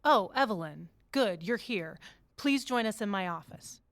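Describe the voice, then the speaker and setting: A composed woman who runs a town office in an audio drama. She is measured and diplomatic but firmly in charge, with a medium-pitched voice recorded very dry. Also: animated, no room sound. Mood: polite, businesslike.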